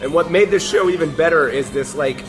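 A man in his forties, the band's drummer, telling stories in a loose, profane, filler-heavy way that trails off quieter. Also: on the high side, a little fast. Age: 40s. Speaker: band's drummer, interview guest. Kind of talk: casual storytelling chat. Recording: music playing underneath.

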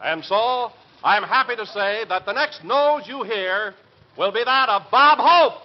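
High-voiced announcer on vintage radio. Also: male voice, animated, unhurried. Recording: dull, slightly noisy vintage radio recording.

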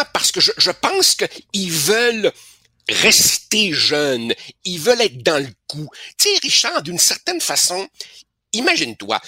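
A man in his sixties with a Québécois accent delivers opinionated commentary in a bright, forceful tone. His voice is slightly husky, and he often stumbles over repeated words before pressing his point. The sound is dry and close to the mic. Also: fairly high pitch, no room sound. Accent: Québécois French. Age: 60s. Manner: stammering repetitions, emphatic. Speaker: columnist.

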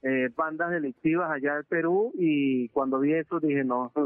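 A man from Venezuela, giving a halting, earnest testimony in a phone interview, full of filler sounds and restarts. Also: on the high side, no echo, soft background music. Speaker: interviewee, deported migrant. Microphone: phone line. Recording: narrowband phone line, dry.

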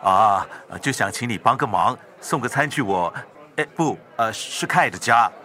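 A man's voice: lowish voice, animated, moderate pace, bright, forceful tone. A man playing a nervous, loyal servant in a radio drama, hesitant and stammering, with a Singaporean accent. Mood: flustered, evasive. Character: nervous, flustered loyal male household servant.

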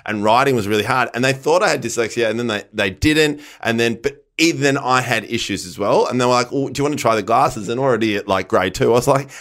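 A man whose first language was Dutch, talking in a middle-pitched voice. He explains things earnestly in casual chat and acts out little quotes. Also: fast talker, hardly any pauses, a bit bright. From raised speaking Dutch at home.

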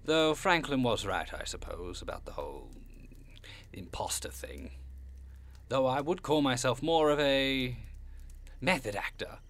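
A man's voice: medium pitch, lively intonation, a little slow, pauses often, fairly bright tone. A man playing a genteel, fussy old goat-man monster in an audio drama, with prim, courtly, long-winded speech. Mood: proud, reminiscing.

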